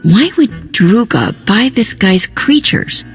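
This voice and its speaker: A woman's voice: medium pitch, very animated, slow pace, over music. An actress playing a cool female space smuggler in a video game, casual and wry. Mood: wry, businesslike. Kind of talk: video game dialogue acting.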